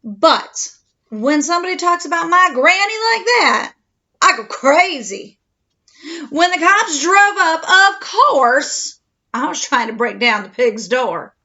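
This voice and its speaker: Storybook wolf played by a woman: wronged, mock-innocent, high and swooping, slightly muffled recording. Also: exaggerated voice. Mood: indignant. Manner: expressive, mock-innocent storytelling with drawn-out dramatic words. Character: aggrieved male wolf telling his own side, slyly self-pitying.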